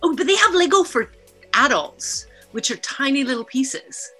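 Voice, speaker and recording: A middle-aged woman from Canada, a guest chatting casually on a video call podcast in a lively, animated way. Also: high-pitched, normal speed, soft background music. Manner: lively, laughing, animated storyteller. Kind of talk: casual interview chat.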